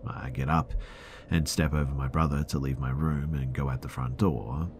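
A man reading a first-person horror story aloud in a hushed, drawn-out dramatic delivery, dropping into a whisper at times. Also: very deep voice, fairly even intonation, slight background noise. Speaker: narrator. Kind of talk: scripted horror story narration.

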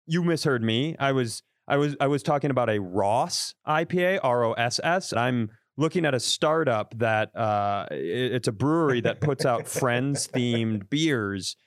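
A man's voice: medium pitch, lively intonation, a little slow, few pauses, no room sound, clean studio sound. A man co-hosting a podcast over a video call, telling a self-deprecating story in a rambling, casual way with lots of restarts. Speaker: podcast co-host. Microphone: podcast mic over video call.

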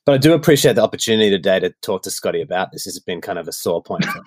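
A man with an Australian accent chatting on a podcast, his voice a touch low and recorded close and dry. He tells stories in a relaxed, meandering way, with plenty of ums and likes. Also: racing, no room sound. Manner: loose storytelling with many fillers. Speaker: worship songwriter guest. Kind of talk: casual podcast chat.

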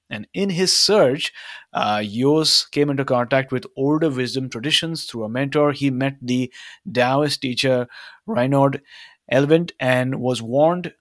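A man's voice: medium pitch, dry recording. Upbeat male podcast host, dry close sound. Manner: upbeat, many fillers. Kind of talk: podcast interview.

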